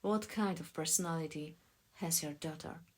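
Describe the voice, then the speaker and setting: A woman voicing a measured, persistent man who asks probing questions in an audiobook. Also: deep voice, husky.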